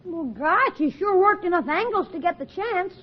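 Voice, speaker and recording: An actor playing a brash, cheeky schoolboy nephew in a 1940s radio comedy. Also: male voice, very high-pitched, lively intonation, normal speed. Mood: cheeky, mocking. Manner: cheeky, exclamatory. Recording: muffled 1940s radio recording.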